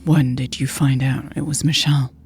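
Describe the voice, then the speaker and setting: A woman's voice: a bass voice, level tone, moderate pace, a little mellow, a little hiss or hum. A woman reader voicing an angry, wounded woman leader who speaks in a clipped, accusing way in a romantic audio story. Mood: cold. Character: angry, cold, wounded woman leader confronting her lover. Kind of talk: audio fiction dialogue reading.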